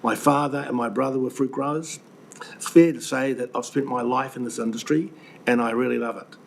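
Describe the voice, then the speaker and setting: An older man with a New Zealand accent, croaky from the flu, presenting earnestly and indignantly to a council committee with many ums and restarts. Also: medium pitch, steady tone, brisk. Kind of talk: public submission to a committee. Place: council meeting room. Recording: webcast with faint room noise.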